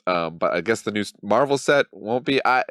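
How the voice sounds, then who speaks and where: A man's voice: fairly low pitch, lively intonation, fairly quick, a bit bright, no echo, clean studio sound. A man in his thirties hosting a casual commentary channel, talking with a cold and thinking aloud in a wry, loose way. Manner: wry, mock-dramatic, thinks aloud with many ums. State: has a cold. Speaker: channel host.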